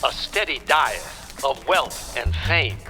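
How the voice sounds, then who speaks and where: An actor playing an earnest coffeehouse beat poet, reciting portentously with dramatic pauses. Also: male voice, medium pitch, big pitch swings, music in the background. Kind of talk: 1950s beat poetry recital.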